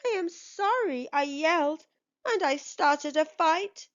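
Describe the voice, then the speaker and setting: Woman voicing an earnest, high-pitched storybook beaver. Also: expressive, takes it slowly, highs cut off. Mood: apologetic. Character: earnest, sweet-toothed young male beaver.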